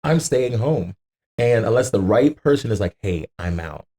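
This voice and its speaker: A man's voice: lowish voice, lively intonation, normal speed, mellow, breathy edge. A man in his thirties, a podcast guest telling casual anecdotes with slang, swearing and acted-out quotes. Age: 30s.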